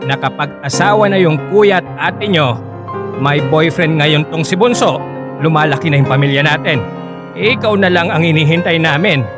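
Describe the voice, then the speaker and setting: Casting: man for nudging dad of grown children, fairly high pitch, radio drama with music underneath. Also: moderate pace, close to an everyday voice. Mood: teasing, eager. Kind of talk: Filipino radio drama acting.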